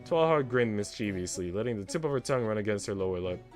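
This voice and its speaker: Man narrating a story with lively, swinging pitch. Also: quick pace. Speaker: streamer reading a visual novel aloud. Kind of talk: expressive story narration on a livestream.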